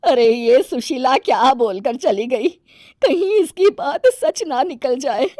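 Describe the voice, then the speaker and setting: A woman voicing a middle-aged mother-in-law in an animated moral story, expressive and theatrical. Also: sits high, slow, no room sound, studio quality, full character voice. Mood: worried. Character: middle-aged city mother-in-law, affectionate but easily exasperated.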